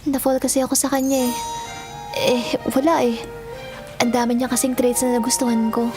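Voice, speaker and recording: A woman's voice: high voice, little pitch movement, normal speed, over music, lightly acted. A woman acting in a Filipino audio drama as a sweet, easily hurt young student, earnest and girlish, with a Filipino accent. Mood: grateful, shy.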